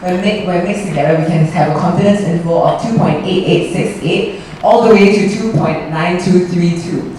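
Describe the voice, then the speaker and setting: A young woman, a student presenter, briskly walking through survey results. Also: fairly low pitch, dark tone, slight background noise. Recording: roomy echo from far off.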